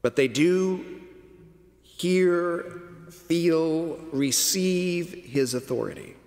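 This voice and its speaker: A middle-aged man preaching a sermon from a pulpit, telling the story slowly and deliberately with dramatic pauses. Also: fairly high pitch, a little mellow. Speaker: cathedral dean preaching. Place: large stone cathedral, at a pulpit. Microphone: pulpit microphone. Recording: long cathedral reverb.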